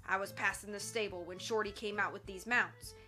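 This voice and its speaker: A woman voicing a level-headed young cowboy who speaks calmly and wryly. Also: mid-range voice, a little fast, faint music behind, close to an everyday voice. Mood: stern. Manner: calm, level, wry.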